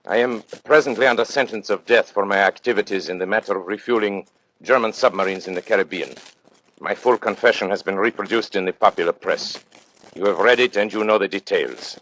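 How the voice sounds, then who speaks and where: A male actor plays a condemned man narrating his exploits in 1940s radio drama. His voice sits fairly low. He speaks formally and deliberately, with cool, boastful assurance. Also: fairly bright tone, a little hiss or hum, close to an everyday voice, rough recording. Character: condemned traitor, cultured, boastful, coolly telling his story.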